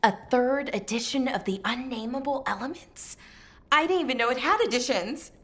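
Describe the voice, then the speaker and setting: A woman's voice: sits high, lively intonation, moderate pace, a bit bright, a little hiss or hum. An actress playing a friendly, bookish young woman in a video game, informal and enthusiastic. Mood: eager, friendly.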